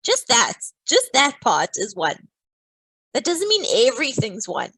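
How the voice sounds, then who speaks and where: A woman's voice: a little high, wildly swooping pitch, unhurried, sharp tone, breathy edge, no echo, low-quality recording. A woman teaching a live online maths lesson, playful and teasing, walking through each step.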